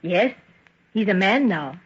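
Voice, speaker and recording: An actress playing a kindly, genteel young woman, gentle and coaxing, in a vintage radio drama. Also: medium pitch, expressive, unhurried, breathy edge. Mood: wistful, fond. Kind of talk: golden age radio drama acting. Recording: dull, muffled vintage radio recording.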